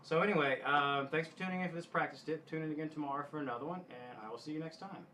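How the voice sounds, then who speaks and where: A man giving casual guitar instruction in a halting way, often backtracking and clearing his throat. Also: on the high side, sharp tone, slight background noise. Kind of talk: casual instructional talk to camera.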